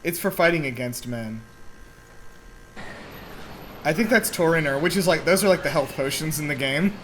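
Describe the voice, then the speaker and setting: Animated, explanatory movie reaction co-host. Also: mid-range voice, moderate pace, a little hiss or hum, low-quality recording. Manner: explains game references to the others. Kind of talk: casual reaction commentary over a film.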